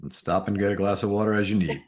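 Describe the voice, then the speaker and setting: A man on a town board, speaking at a public meeting in a halting, helpful way as he guides someone through a problem. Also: fairly low pitch, fairly even intonation, a little mellow. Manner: halting, helpful, guiding through tech trouble.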